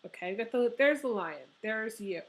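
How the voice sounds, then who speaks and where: A young woman with a hoarse, fading voice, reading tarot in a casual, rambling way with many pauses and ums. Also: a little high, expressive. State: hoarse, losing her voice. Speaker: tarot reader.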